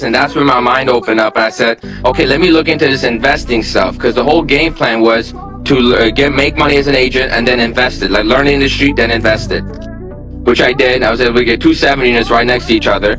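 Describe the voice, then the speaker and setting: A man's voice: medium pitch, rough recording. A young man from Armenia, a real estate investor, telling his story in a candid, plainspoken interview. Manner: candid, plainspoken, lots of fillers.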